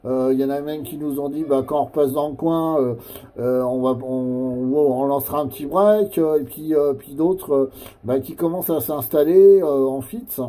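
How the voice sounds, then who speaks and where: A man chatting casually as an amateur radio operator, in a hesitant, halting way that often repeats phrases. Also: on the high side, dark tone.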